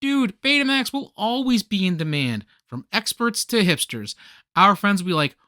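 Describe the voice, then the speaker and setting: Man acting excitable, bright-voiced nerdy party host. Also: high voice, moderate pace, dry recording, clean studio sound, exaggerated voice. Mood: hyped, boastful. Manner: theatrical, geeky slacker banter. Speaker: actor in a radio comedy play. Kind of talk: scripted radio play comedy dialogue.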